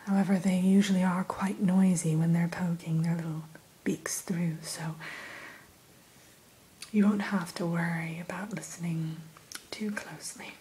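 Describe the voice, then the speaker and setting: A woman whispering a gentle, courteous ASMR roleplay as the keeper of a fantasy creature shop, in a breathy voice. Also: fairly low pitch, poor audio. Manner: slow, gentle, courteous shopkeeper patter. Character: gentle, courteous female keeper of a dragon hatchery. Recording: steady hiss behind close whisper.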